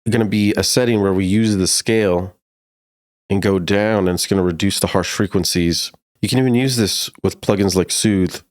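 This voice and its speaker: A man with a deep, low-set voice narrates a music production tutorial. He explains each step informally, in a casual teaching style. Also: normal speed.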